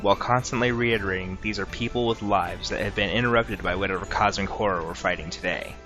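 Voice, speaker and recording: Brisk, bright-voiced male game commentator. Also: sits low, steady tone, soft background music. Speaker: video essayist, game reviewer. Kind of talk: scripted narration, commentary.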